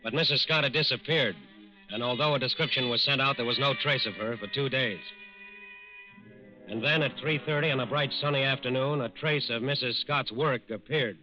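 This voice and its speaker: A man narrating a 1940s radio crime drama in a deliberate, dramatic manner. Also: mid-range voice, muffled recording. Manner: deliberate, dramatic repeated phrases. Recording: band-limited 1940s radio recording. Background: dramatic music.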